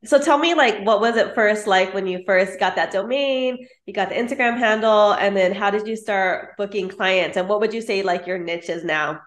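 A woman's voice: mid-range voice, normal speed, hardly any pauses, roomy sound. A woman hosting a podcast, eagerly asking a string of questions in a casual chat. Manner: eager, fires off questions in bursts. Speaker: podcast host.

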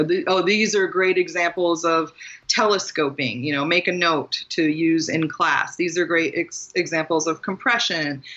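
A woman's voice: sits low, a bit bright, dull audio. An American woman talking casually and reflectively as the guest in an interview about her own experience. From Maryland, US. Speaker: guest author and writing teacher.

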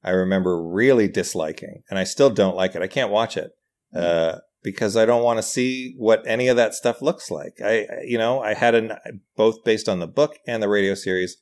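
A man chatting casually on a podcast as a writer and comedian guest, animated and self-deprecating. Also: on the low side, normal speed.

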